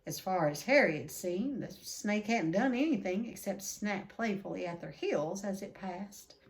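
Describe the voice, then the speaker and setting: A woman reading a children's book aloud expressively, with deliberate dramatic emphasis. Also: medium pitch, expressive. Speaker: read-aloud storyteller.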